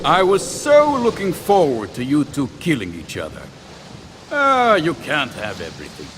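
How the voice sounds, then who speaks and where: A smug, wildly animated male villain. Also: on the high side, normal speed, heavy background noise. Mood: smug, irritated. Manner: mocking, florid. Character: smug, theatrical male villain, mockingly polite. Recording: loud music behind.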